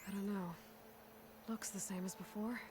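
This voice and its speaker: A woman's voice: medium pitch, steady tone, normal speed, noisy background, lightly acted. An actress playing a pensive, determined young woman adventurer who mutters to herself in a video game. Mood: uneasy, wary.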